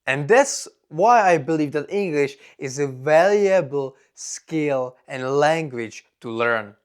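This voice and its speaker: A young man from the Czech Republic, a native Czech speaker with a strong Czech accent, gives a personal vlog monologue in deliberate, simple non-native phrasing. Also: on the high side, lively intonation, clear, resonant tone. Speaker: vlogger.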